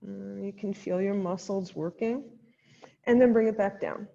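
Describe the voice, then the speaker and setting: A woman leading a guided chair yoga class as the instructor, giving gentle step-by-step cues with pauses, in a muffled, reverberant recording. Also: medium pitch, gravelly. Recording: dull sound in a long-reverb hall.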